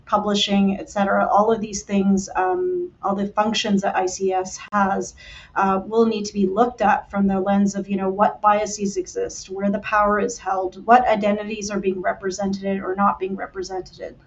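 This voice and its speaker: A woman's voice: medium pitch, fairly even intonation, moderate pace, lo-fi sound. A woman panelist speaking earnestly and with passion in a panel discussion.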